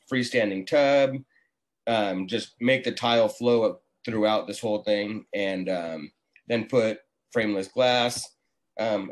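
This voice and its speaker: Low-voiced man chatting on a video call. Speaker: remodeling contractor, guest. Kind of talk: casual interview talk. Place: small indoor room, video call. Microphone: computer mic on a video call.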